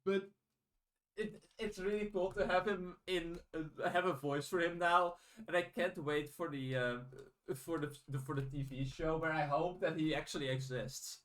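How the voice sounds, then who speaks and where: A man's voice: a little high, fairly even intonation, normal speed, fairly bright tone. A native Dutch man speaking English as a podcast host, casual and enthusiastic.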